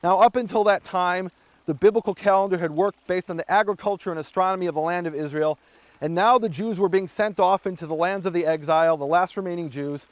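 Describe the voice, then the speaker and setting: A man giving a Bible teaching talk, telling history as a lively story and acting out the quoted voices. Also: high voice, brisk, no room sound.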